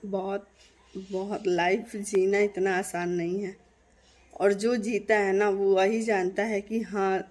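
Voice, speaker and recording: Woman vlogger from Bihar, earnest and emphatic monologue to camera, average pitch. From Bihar, India. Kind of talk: casual direct-to-camera monologue.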